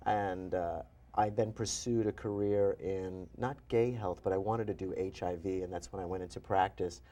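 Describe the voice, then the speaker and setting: Low, mellow-voiced man chatting as a guest. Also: moderate pace. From New York, USA. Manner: chatty, animated, self-deprecating. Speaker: guest physician and author. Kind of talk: interview conversation.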